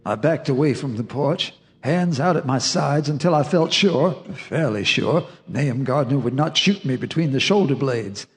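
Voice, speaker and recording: An actor playing a sombre farmer who narrates an eerie memory in the first person, measured and reflective. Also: male voice, mid-range voice, lively intonation, breathy edge. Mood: uneasy dread.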